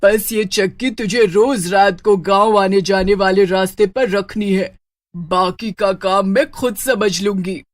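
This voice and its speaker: A woman's voice: mid-range voice, a little slow, natural voice. A woman voicing a cunning witch in a dramatised storybook tale, with a scheming, emphatic delivery. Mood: pleased, sly. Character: cunning witch luring a poor boy with gold.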